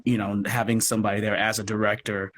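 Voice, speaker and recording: A man chatting casually as an interview guest, expressive and thinking aloud as he explains his work. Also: on the low side, fast. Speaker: radio play producer and guest. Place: remote live video chat.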